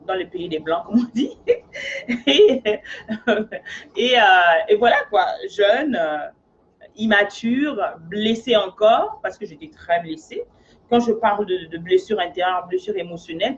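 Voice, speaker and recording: A woman's voice: medium pitch, highs cut off, a little hiss or hum. A woman from Africa who works as a life coach, talking in an earnest, casual monologue and often checking in with her listener.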